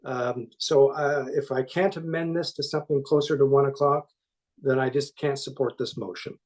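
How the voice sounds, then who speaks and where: Male councillor, earnest and halting, mid-pitched voice, council debate. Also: steady tone. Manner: earnest, halting, self-deprecating. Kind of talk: speaking in debate at a council meeting. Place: council chamber.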